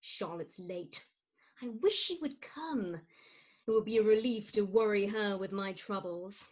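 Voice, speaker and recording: A woman's voice: mid-range voice, a little slow, darkish tone, close to an everyday voice. A woman playing a fretful, well-bred middle-aged mother in a staged reading of a Victorian farce. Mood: anxious, guilty. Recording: dull lo-fi remote recording.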